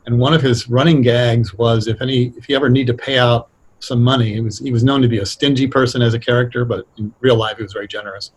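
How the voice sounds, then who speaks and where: Guy with a husky voice, chatting in an interview as a writer, kinda halting and thoughtful. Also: mid-range voice, steady tone, poor audio. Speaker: radio drama writer, interview guest. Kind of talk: casual explanatory interview talk.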